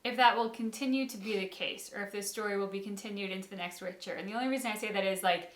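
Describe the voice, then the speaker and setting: A woman's voice: medium pitch, fast talker. A woman co-hosting a casual reaction show, musing aloud and giving emphatic opinions on a story. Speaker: co-host of a game reaction show. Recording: echoey room.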